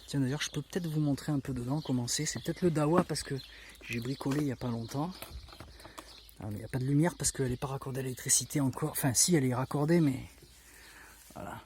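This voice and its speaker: A middle-aged man with a southern French accent from the Var talks easily and chattily, voice sitting at an ordinary mid pitch. He is filming himself outdoors in the countryside on a handheld camera. Faint birdsong and rural ambience drift in behind him. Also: slight background noise. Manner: chatty, relaxed, frequent fillers. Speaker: vlogger. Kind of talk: casual walk-through tour.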